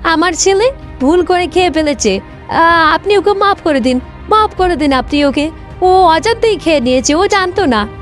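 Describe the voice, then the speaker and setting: A woman's voice: very high-pitched, animated, normal speed, soft background music, pitched above the performer's own voice. A woman voicing an anxious village mother in a cartoon folk tale, exclaiming and repeating her words. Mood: pleading. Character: woodcutter's wife, anxious, easily tempted village mother.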